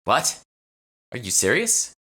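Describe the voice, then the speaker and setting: A man performs a young fantasy heroine in an audiobook. She is anxious and inquisitive, asking questions in an uneasy manner with a tense, bright tone. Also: mid-range voice, huge pitch swings, slow, breathy edge, pitched above the performer's own voice, full character voice.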